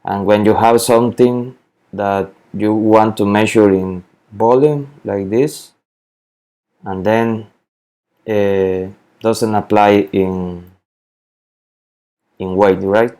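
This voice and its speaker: Slow, deep-voiced man. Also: fairly even intonation, dark tone. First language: Spanish. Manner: halting, repeats words, many fillers. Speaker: spreadsheet tutorial maker. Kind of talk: screen-recorded software walkthrough.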